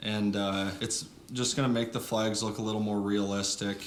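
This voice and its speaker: A man's voice: lowish voice, flat delivery, normal speed. A man, a festival volunteer chatting in an easygoing, agreeable way. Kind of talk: casual chat. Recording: echoey with faint noise.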